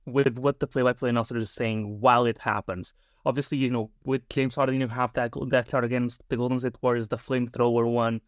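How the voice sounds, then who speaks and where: Brief: man from Europe, mid pitch, dry close mic, eager and stumbling. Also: dry recording. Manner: enthusiastic, halting, repeats words. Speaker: podcast co-host. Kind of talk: casual podcast chat.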